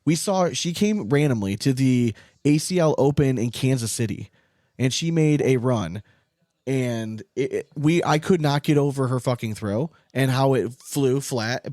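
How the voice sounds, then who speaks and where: A man's voice: mid-range voice, normal speed, darkish tone, no echo, clean studio sound. A man hosting a podcast, chatting casually about sport in an informal, emphatic way with some swearing. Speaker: podcast host.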